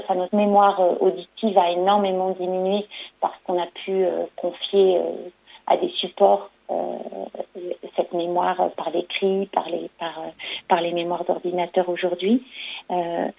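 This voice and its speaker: A low, gravelly woman's voice comes over a thin phone line. She answers an interviewer thoughtfully, pausing often and restarting her sentences as she searches for words. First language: French. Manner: hesitant, many fillers and restarts. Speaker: storyteller and performer. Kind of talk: radio interview.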